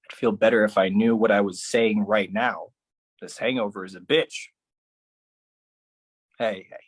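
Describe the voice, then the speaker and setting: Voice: steady tone, measured pace, slightly rough, dry recording. A solo performer delivering a dramatic spoken-word monologue in an intense, theatrical manner. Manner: theatrical, intense, swinging between low musing and raised outbursts.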